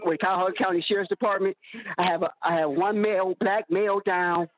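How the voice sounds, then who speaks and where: A sheriff's deputy giving a terse, halting report over police radio. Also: male voice, sits high, steady tone. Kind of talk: radio dispatch. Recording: tinny police radio transmission.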